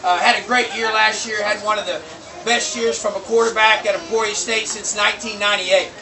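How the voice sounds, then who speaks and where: A man's voice: high-pitched, steady tone, fairly quick. A man coaching football, casually introducing his players to a crowd with an upbeat, loose manner. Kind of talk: informal team introductions to a crowd. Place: outdoor sports event. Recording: echoey, distant mic with loud music.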